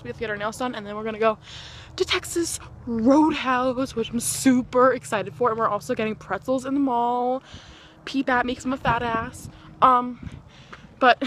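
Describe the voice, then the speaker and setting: A woman's voice: on the high side, fairly bright tone, slight background noise. Giggly teenage girl vlogging on her phone. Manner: giggly, loose and slangy, lots of fillers. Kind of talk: casual vlog chat. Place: outdoors in a cold yard, then a restaurant, then a bedroom. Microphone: phone or webcam held close.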